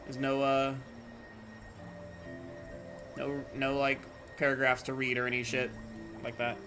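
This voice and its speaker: A man's voice: medium pitch, monotone, unhurried. Casual male streamer over game music. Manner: informal, slangy, swearing. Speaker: livestreamer. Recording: music playing behind the voice.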